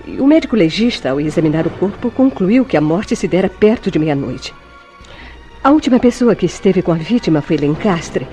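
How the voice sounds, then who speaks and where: A woman acting a mellow, pleading Brazilian melodrama heroine. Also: fairly low pitch, expressive, fairly quick, soft background music, close to an everyday voice. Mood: anxious, tense. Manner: pleading, dramatic, formal diction. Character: distraught, devoted woman shielding her guilty lover. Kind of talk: melodramatic radio soap acting.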